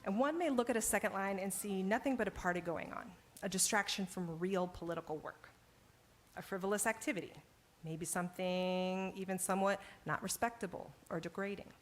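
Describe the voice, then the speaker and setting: A woman author giving a measured academic talk on a stage, then hosting a panel. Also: medium pitch. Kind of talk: public lecture then moderating a panel. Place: event stage with live audience. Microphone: handheld stage microphone.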